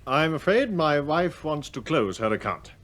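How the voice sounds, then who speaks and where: An actor playing a polite, formal well-off husband with a British accent in a radio play. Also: male voice, a little high, sing-song, a bit bright. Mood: apologetic. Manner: courteous, measured, formal. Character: smooth, polite husband, a well-off bank customer.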